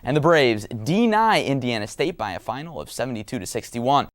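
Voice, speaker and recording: A man's voice: mid-range voice, sing-song, brisk. A man calling sports as a play-by-play announcer and anchor, in a commentary style. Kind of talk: sports commentary and highlight narration. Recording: crowd and outdoor noise behind. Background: crowd and ambient noise.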